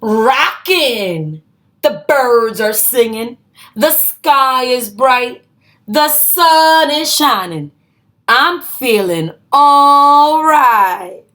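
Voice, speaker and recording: A children's storybook alligator voiced by a woman in a high register. It talks very slowly, in simple, drawn-out phrases. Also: lively intonation, pushed and bright, heavily acted. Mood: delighted.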